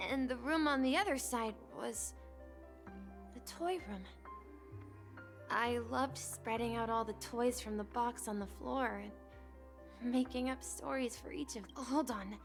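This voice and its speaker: Young boy, game character, earnest, hesitant, slow delivery, slightly high pitch. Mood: puzzled wonder. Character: wistful young bellboy boy, earnest dreamer of seafaring adventure. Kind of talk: video game voice acting. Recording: music under the voice.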